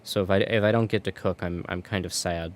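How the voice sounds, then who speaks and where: A man's voice: low voice, steady tone, fairly quick, slight background noise. A man streaming from home, chatting casually while gaming, rambling and restarting his words.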